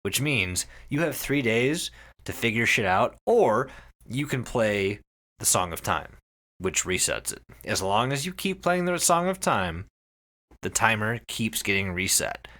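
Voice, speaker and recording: A man's voice: on the low side, sing-song, a little slow, bright, forceful tone. A man hosting a podcast, telling a story in casual, emphatic chat with plenty of swearing. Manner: profane, emphatic, repeats phrases for effect.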